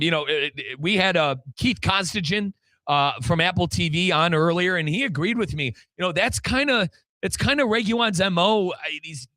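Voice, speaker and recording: Bright-voiced man hosting sports talk. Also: a little high, dry recording. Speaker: sports radio show host and football play-by-play commentator. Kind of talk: animated sports talk with sponsor reads.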